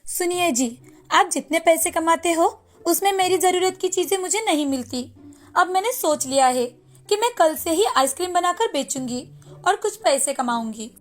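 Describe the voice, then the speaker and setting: Woman acting high-pitched ambitious, insistent wife. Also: lively intonation, moderate pace. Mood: nagging, dreamy. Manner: insistent, complaining. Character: ambitious, greedy, nagging young wife selling ice cream. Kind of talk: animated moral-story character dialogue.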